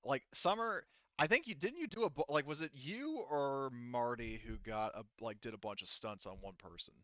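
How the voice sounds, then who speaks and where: A man's voice: mid-range voice, expressive, normal speed, a bit bright, no echo. Guy game mastering a tabletop rpg, chatting casually, dry kinda muffled mic.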